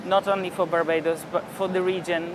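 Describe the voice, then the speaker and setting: An older man from Poland, a diplomat speaking in a television interview in a reflective, measured way with frequent hesitations. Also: high-pitched, level tone, background noise. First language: Polish. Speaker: ambassador.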